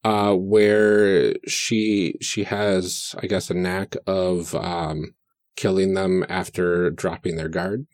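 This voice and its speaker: A man's voice: sits low, level tone, slow, a little mellow, dry recording. A man playing a tabletop game for the first time, talking hesitantly with many fillers as he builds his character. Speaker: player. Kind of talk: casual tabletop chat.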